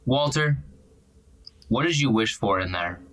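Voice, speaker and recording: A man's voice: mid-range voice, expressive, measured pace, a bit bright. A man playing a suspicious, accusing character in a tabletop drama, pressing with terse, pointed questions. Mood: pressing, stern. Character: suspicious, accusing, dogged interrogator named Dakota.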